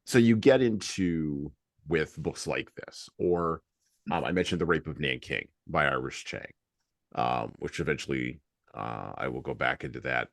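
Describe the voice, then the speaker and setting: A man hosting a podcast, talking reflectively about books in a halting, deliberate way with emphasis on key words. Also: a bass voice, gravelly, dry recording, studio quality. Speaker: podcast host. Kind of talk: reflective book discussion.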